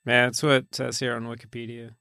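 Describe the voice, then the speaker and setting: A man's voice: mid-range voice, monotone, fast, gravelly, pushed and bright, smooth, dry recording, studio quality. A man co-hosting a podcast, discussing a film casually in a hesitant, droll way. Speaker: podcast co-host.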